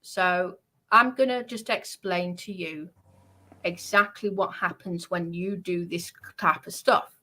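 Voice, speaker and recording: A woman delivering an unscripted, confrontational rant to online trolls, scolding in a halting way and repeating her points for emphasis. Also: on the low side, pushed and bright.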